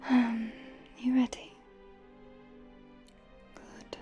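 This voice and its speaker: A woman voicing a doting, possessive, elegant vampire in an intimate, coaxing, breathy whisper for an ASMR roleplay. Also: fairly high pitch, flat delivery, dark tone, muffled recording, faint music behind. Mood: affectionate, inviting. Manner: intimate, coaxing, slow and genteel.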